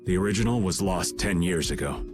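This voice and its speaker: A man's voice: sits low, fairly quick, lightly acted. A man playing a weary, stoic knight captain. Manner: formal, calm, resigned. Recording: game audio with loud music.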